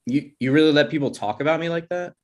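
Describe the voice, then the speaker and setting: A man's voice: a little high, fast talker, a little mellow, natural voice. A man playing an insecure, jealous boyfriend and grad student in a comedy table read, speaking in a hesitant, stammering way. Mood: defensive. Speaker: actor in a screenplay table read.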